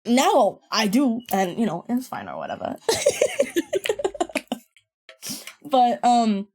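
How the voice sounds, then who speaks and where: A young woman with a deeper voice, a podcast guest telling stories in a casual, rambling chat full of laughs and swearing. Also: wildly swooping pitch, extremely slow, a little mellow, husky.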